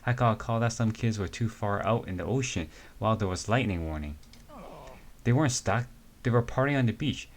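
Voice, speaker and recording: Guy reading big friendly rescue dude, lowish voice, chill storytelling, bit stumbly. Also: expressive, fairly quick. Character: big friendly male rescuer, easygoing, says brother. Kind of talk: amateur dramatic comic reading.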